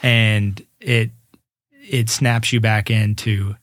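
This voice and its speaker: A young man in his twenties talks about his path as a musician in an easygoing interview. His voice sits a little low and he speaks earnestly, often circling back to restart a thought. The sound is dry, with no room around it. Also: little pitch movement, slow pace, a bit bright, dry recording. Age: 20s. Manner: earnest, reflective, with many restarts. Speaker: guest musician. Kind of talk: casual podcast interview.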